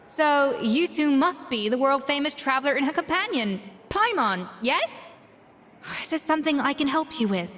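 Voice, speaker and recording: An actress playing an exacting young head chef in a video game, brusque and commanding with a hidden kindness. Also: female voice, high voice, animated, in a large hall, a little hiss or hum. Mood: businesslike. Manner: sharp, commanding, brisk.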